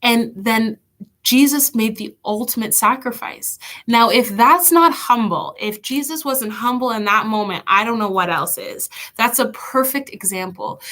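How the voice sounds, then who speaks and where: A woman's voice: on the high side, fairly bright tone. A woman leading an online children's church lesson, chatty and encouraging, with a stuffy nose from allergies. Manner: chatty, encouraging, reads out kids' comments.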